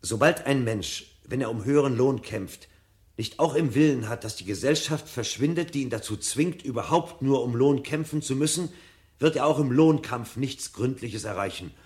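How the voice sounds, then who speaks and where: An actor playing a brooding, restless, insistent husband who secretly devours forbidden books, in a 1970 German radio drama. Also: male voice, medium pitch, normal speed, darkish tone, close to an everyday voice. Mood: earnest reading. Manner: insistent, shifting from musing to exasperated.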